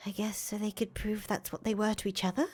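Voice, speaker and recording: A woman playing a sceptical, worried confidante in a visual novel, questioning and expressive, with a slightly husky voice. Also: mid-range voice, brisk, lightly acted. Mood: puzzled, suspicious. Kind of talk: acted reading of visual novel dialogue. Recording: music under the voice.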